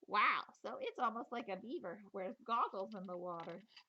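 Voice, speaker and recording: A woman teacher reading a children's nature book aloud in an expressive, playful storytelling manner, with chatty asides. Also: mid-range voice, normal speed, dull audio, a little hiss or hum.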